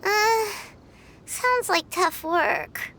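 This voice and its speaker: Squeaky, very high little sprite. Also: female voice, lively intonation, very slow, noisy background, exaggerated voice. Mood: puzzled. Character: tiny childlike floating sprite companion, curious and puzzled. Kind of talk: scripted fantasy game dialogue.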